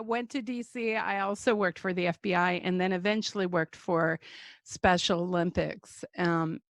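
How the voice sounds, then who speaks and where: An older woman from the United States speaks slowly and haltingly, with plenty of pauses and fillers. Her voice sits a little low. The sound is dry and close, as if from a home computer mic. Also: gravelly, dry recording. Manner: slow, halting, many fillers. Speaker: guest speaker. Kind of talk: life story chat. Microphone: computer mic on a video call.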